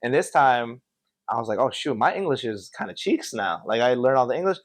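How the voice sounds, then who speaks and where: A young man from Connecticut, a podcast guest telling stories in an animated, slangy, casual way. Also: mid-range voice, fairly quick, dry recording. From Connecticut, USA. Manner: animated, slangy storytelling with many filler yeahs. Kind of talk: casual chat.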